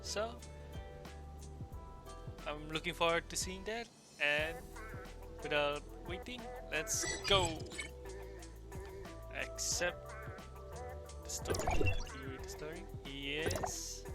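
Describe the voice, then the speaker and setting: A man whose first language is not English, chatting casually and poking fun at himself while he plays a game. He talks slowly, in a slightly high voice, with loud game music filling the background. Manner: chatty and self-deprecating, laughing at himself. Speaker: gamer. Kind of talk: casual let's-play commentary.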